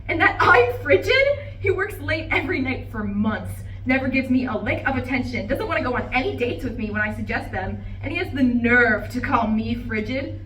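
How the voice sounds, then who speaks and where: A high, lively-pitched voice of a bitter, wronged wife, acted by a woman in a stage play. She vents with indignant emphasis. The recording is roomy, as if from the back of a live reading. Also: normal speed. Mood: outraged. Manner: indignant, emphatic, swearing monologue. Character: bitter, betrayed wife venting to her plants. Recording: roomy, distant live recording, faint noise.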